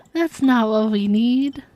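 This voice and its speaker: A woman's voice: fairly high pitch, darkish tone, no echo. A woman livestreaming a game, chatting casually and informally with her viewers. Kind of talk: casual chat while streaming gameplay.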